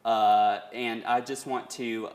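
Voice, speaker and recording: A man hosting an interview, asking relaxed questions and saying "y'all" often. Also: medium pitch, slow. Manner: relaxed, Southern "y'all" phrasing. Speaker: interview host.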